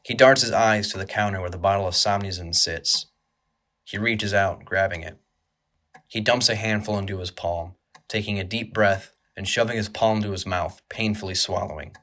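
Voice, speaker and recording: A man reads dramatic narration for a horror audio drama. His voice is on the low side with a tense, bright edge. He delivers it in a grave, measured way. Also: fairly even intonation.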